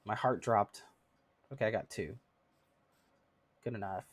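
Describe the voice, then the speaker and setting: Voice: medium pitch, unhurried, a little hiss or hum. A streamer in their thirties chatting casually with viewers while playing a game, laughing often and using many fillers. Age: 30s.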